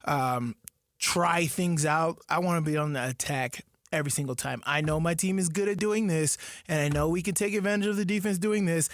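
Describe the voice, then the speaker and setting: A man's voice: fairly high pitch, no room sound, studio quality. A man co-hosting a sports radio show, talking casually and animatedly with lots of fillers and laughs.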